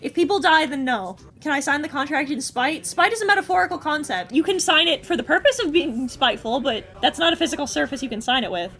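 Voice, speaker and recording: High-voiced excitable woman streamer. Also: quick pace, hardly any pauses. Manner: excitable, chatty, bursts into squeals. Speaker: streamer host. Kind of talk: casual stream chat reacting to game trailers.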